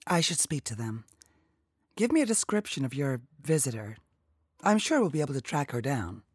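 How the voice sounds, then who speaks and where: A woman's voice: very deep voice, big pitch swings, moderate pace, slightly rough, no room sound, studio quality, full character voice. A woman voicing a persistent, tactful female federal agent in an audiobook, with a probing and controlled manner. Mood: professional, probing.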